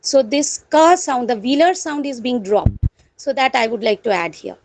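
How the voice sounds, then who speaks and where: A woman student translator in an online class, speaking politely and hesitantly with frequent pauses. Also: on the high side, lively intonation, a little mellow, a little hiss or hum. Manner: hesitant, many uh fillers, polite. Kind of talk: asking questions in an online class.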